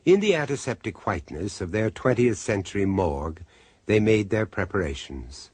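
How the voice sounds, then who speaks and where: A male narrator of an old radio drama, with a low, husky voice. He delivers the lines in a grave, measured way, recorded close and dry, his pitch ranging widely. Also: very animated, a little mellow, dry recording.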